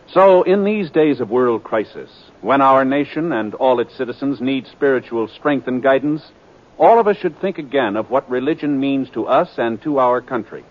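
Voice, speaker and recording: An American man giving formal, scripted announcements in 1950s radio style. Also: mid-range voice. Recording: muffled 1950s radio recording. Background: theme music.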